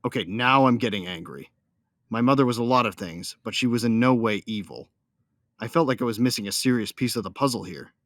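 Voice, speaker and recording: A man's voice: medium pitch, a little fast, fairly bright tone, no echo, studio quality, natural voice. A man playing a devoted young starship captain, narrating his own story in a science fiction audio drama. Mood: confused, angry. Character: young newlywed starship captain, loyal son of smugglers, devoted husband. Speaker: first-person narrator and character.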